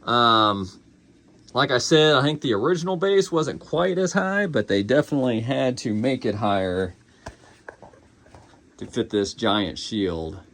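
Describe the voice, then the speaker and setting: A man's voice: medium pitch, animated, measured pace, fairly bright tone. A man casually reviewing a collectible in an unscripted, conversational way.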